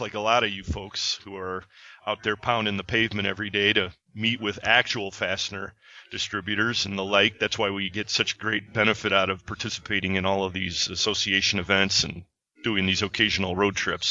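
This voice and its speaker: A male podcast host talks in a chatty, upbeat way, slipping in plugs. His voice is very bright and forceful, pitched a little low. The sound is dull and lo-fi. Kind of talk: casual chat with plugs.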